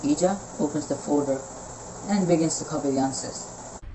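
A man's voice: fairly high pitch, moderate pace, noisy background. A young man reading scripted story narration for a reader's theater piece. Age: young adult. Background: music with night insects.

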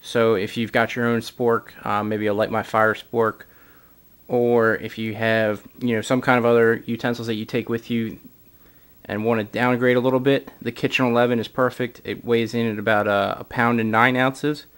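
Guy showing off camping kitchen stuff, relaxed, lots of ums, plain mid voice, camera mic in a room. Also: medium pitch, normal speed, smooth. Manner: casual, with frequent "uh" fillers. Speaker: gear reviewer. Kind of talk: product walkthrough. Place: indoors, camera over a carpeted floor.

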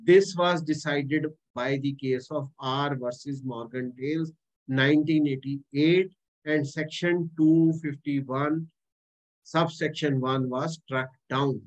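A male law lecturer with an Indian English accent, pitched a touch higher than most men. He speaks emphatically and keeps circling back to repeat key points and dates. The sound is dull and lo-fi. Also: steady tone, slow pace. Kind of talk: exam-prep lecture. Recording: dull lo-fi recording.

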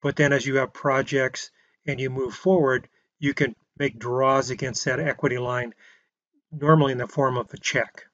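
Male instructor, mid-pitched, steady lecture voice-over; dry, slightly muffled recording. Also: moderate pace, no echo. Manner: plain explanatory delivery with restarts and filler words. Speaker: real estate instructor. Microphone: voice-over on slides.

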